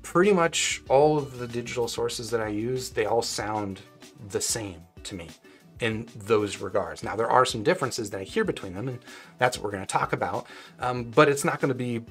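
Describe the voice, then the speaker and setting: A man's voice: mid-range voice, normal speed, a bit bright, faint music behind. An American man in his forties giving a casual product review, conversational and thinking aloud as he goes. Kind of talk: casual tech review talk. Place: small home room, indoors.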